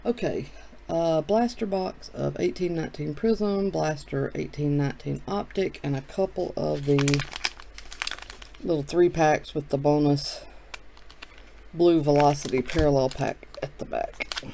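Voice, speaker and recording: A middle-aged man hosting a casual, relaxed chat, thinking aloud as he opens packs of cards. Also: a little high, a little slow, gravelly, background noise. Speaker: card shop host. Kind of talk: casual chat while opening card packs.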